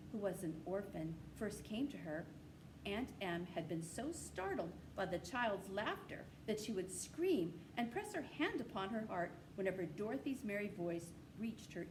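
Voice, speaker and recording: Woman reading aloud over background noise. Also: mid-range voice. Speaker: storybook reader. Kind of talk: reading a children's novel aloud. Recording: clearly noisy amateur recording.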